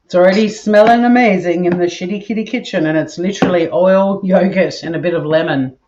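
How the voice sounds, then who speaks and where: A woman's voice: on the low side, brisk, background noise. Nasal australian woman, chatty live cooking host, muffled audio, pots and dishes clattering. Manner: chatty, greets viewers while cooking. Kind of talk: casual live cooking chat. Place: home kitchen. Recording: dull audio with kitchen clatter.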